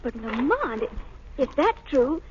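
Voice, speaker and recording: A woman's voice: high-pitched, big pitch swings, unhurried, slightly rough, heavily acted. An actress playing a refined, inquisitive lady companion in a 1930s radio drama. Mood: concerned curiosity. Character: genteel, inquisitive lady companion of a crime fighter. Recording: dull, hissy 1930s radio recording.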